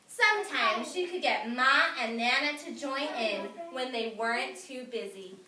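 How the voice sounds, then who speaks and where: A woman teacher reading a picture book aloud to young children, expressive and emphatic, pausing to instruct the class. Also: a little high, a crowd in the background. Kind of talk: animated classroom read-aloud. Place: echoey indoor classroom. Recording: echoey room, distant mic, noisy, clicks.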